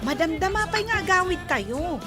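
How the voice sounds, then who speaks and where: A woman's voice: very high voice, lively intonation, moderate pace, over music. An actress playing a playful young woman who teases her friend in a radio drama. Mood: teasing. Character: young woman Alexa, teasing upbeat friend.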